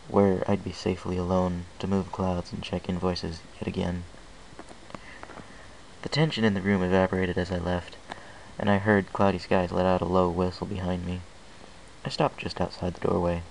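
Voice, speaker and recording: Low, creaky man narrating a story. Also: slight background noise, poor audio. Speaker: fan fiction reader. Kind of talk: first-person audiobook narration.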